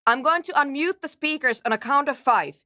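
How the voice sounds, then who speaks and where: A woman's voice: sits high, fairly quick, bright, forceful tone, dry recording, studio quality. A woman playing a guarded, impatient and anxious programmer in a video game, with a terse, precise and matter-of-fact way of talking. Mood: anxious, pleading. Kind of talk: scripted game character dialogue.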